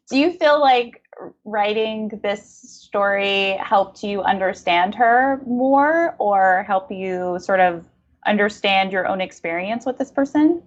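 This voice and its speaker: A woman's voice: mid-range voice, slow, highs cut off. A woman interviewing in a casual literary conversation, speaking in an effusive, affirming way. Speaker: podcast interviewer.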